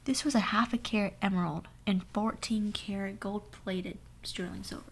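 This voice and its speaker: A young woman with a hoarse voice telling a sassy, dramatic story in a soft whisper. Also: mid-range voice, fairly even intonation, normal speed, slight background noise. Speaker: ASMR storyteller. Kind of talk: whispered ASMR story time.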